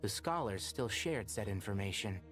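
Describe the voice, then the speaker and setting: A man voicing a composed, thoughtful male scholar in a video game, speaking in an earnest, measured, polite way. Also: on the low side, lively intonation, over music, close to an everyday voice. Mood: grave.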